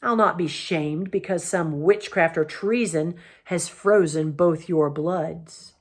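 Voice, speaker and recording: A woman's voice: medium pitch, animated, normal speed, sharp tone. Brief: woman playing a blustering usurper king, roaring, scornful. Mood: furious.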